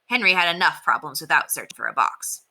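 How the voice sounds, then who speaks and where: A woman's voice: low voice, animated, quick pace, creaky, bright, forceful tone. A woman reading a children's chapter book aloud as a storyteller, in scripted narration.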